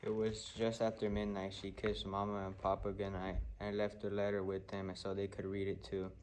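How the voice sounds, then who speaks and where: Male AI-style audiobook reader, low and unvarying, stumbling oddly, faint noise behind. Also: completely flat delivery, normal speed, darkish tone. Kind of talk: scripted narration of a children's novel.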